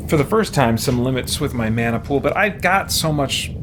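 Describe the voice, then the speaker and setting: A man hosting a let's play, giving casual, expressive commentary and reading game text aloud. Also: mid-range voice, normal speed, music in the background.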